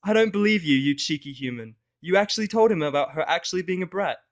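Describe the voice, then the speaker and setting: A man's voice: high-pitched, lively intonation, fast, voice pushed up. A man voicing a playful, cheeky young female pony in a fan fiction audio story, chatty and teasing. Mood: teasing, admiring.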